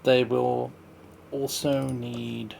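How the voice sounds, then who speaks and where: A man with a creaky, gravelly voice at a middling pitch talks himself through a game. His commentary is casual and half to himself, over faint noise and keyboard clicks. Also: level tone, drawn-out words, darkish tone. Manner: thinking aloud, muttering to himself. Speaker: streamer playing a park-building game.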